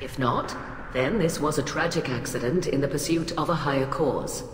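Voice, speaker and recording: An actress playing a cold, haughty alien admiral in a video game, speaking in a clipped, formal, condescending way. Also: female voice, low voice, moderate pace, slight background noise, lightly acted. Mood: cool disdain. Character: cold, haughty alien admiral, female, middle-aged scientist, ruthlessly rational. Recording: echoey hall reverb, music behind.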